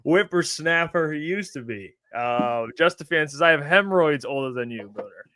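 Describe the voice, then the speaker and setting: A man's voice: a little high, lively intonation, normal speed, sharp tone, slight background noise. A man in his mid twenties co-hosting a sports stream, joking and reading viewer comments aloud. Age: 20s. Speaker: sports show co-host. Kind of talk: casual live-stream chat.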